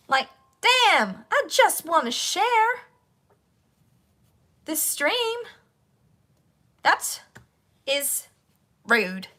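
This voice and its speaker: A high-voiced woman hosts her own livestream, hoarse and croaky from a sore throat. She rambles at an unhurried pace, talking half to her viewers and half to herself as she struggles with her devices. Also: pushed and bright. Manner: rambling, talks to herself while fighting tech. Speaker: voice actor hosting a livestream Q&A. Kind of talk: casual livestream chat.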